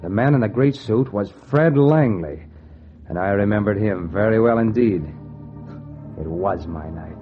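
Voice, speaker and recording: Cocky noir gambler, low pitch, clipped slangy delivery, vintage radio drama, muffled sound. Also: male voice, lively intonation, unhurried. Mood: wry, tense. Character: hard-boiled, cocky male gambler, broke and money-hungry. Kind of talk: 1940s radio noir acting, first-person narration. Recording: dull vintage radio recording with music. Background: music.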